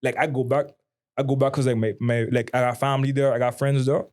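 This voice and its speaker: A man's voice: medium pitch, level tone, fast, darkish tone. A man from Saint Martin with a Caribbean accent, chatting casually and reflectively in informal slang as a podcast co-host. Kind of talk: casual conversation.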